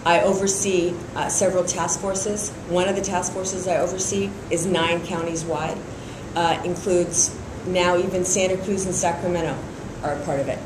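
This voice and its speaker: A woman's voice: fairly low pitch, steady tone, moderate pace, hardly any pauses, roomy sound, background noise. A middle-aged woman from Iran, raised in San Francisco, speaking steadily as a district attorney candidate in an interview, with frequent fillers. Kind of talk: endorsement interview.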